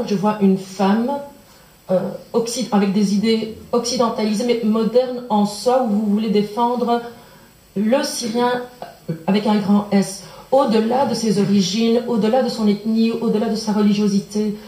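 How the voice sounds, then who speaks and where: A woman's voice: mid-range voice, fairly even intonation, moderate pace, dark tone. Earnest woman in an echoey hall. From Belgium. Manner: earnest, searching, circling back on her words. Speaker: audience member asking a question. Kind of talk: long audience question at a public lecture.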